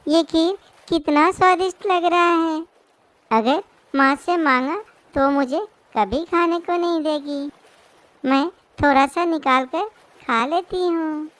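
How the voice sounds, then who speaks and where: A tiny bird girl from a cartoon, with an extremely high voice. She pleads plaintively and slowly, like a mistreated small daughter. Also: fairly bright tone, dry recording. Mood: wistful. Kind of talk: Hindi moral-story cartoon dub.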